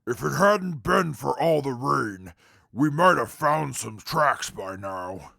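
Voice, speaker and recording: A stern, hulking companion growls with a heavily creaky, raspy voice. He speaks slowly and formally, swinging widely in pitch, in a wasteland audio drama. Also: expressive, pushed and bright, exaggerated voice. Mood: measured, gruff. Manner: slow, formal, commanding. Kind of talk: post-apocalyptic radio drama.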